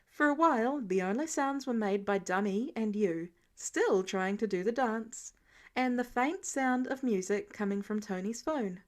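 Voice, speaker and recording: A woman narrates a written story. Her voice is on the high side. She reads scripted prose as the storyteller of an amateur audiobook. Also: a little slow. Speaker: podfic narrator.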